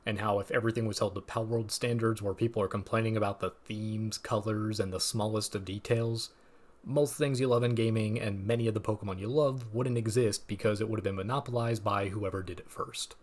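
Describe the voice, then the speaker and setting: Man, fairly low voice, scripted video essay narration, measured, dry asides, close mic. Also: steady tone, a little mellow.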